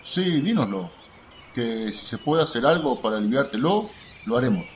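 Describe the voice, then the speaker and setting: A man's voice: mid-range voice, sing-song, moderate pace, natural voice. An actor playing an eager, loyal male disciple in a devotional radio play. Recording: faint noise with birdsong.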